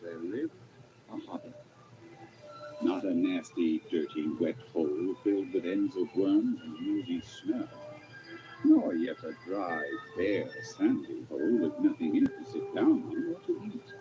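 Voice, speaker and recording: A man narrating a fantasy radio play as a storyteller, measured and expressive, savoring each detail. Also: deep voice, dull audio. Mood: storytelling. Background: music.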